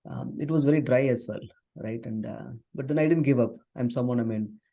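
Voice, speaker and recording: A man's voice: mid-range voice, fairly quick, gravelly, warm tone. A man in his forties from southern India whose first language is Tamil, speaking earnestly as an interview guest, with frequent fillers and restarts. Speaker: guest, software engineer and author. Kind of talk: conversational interview.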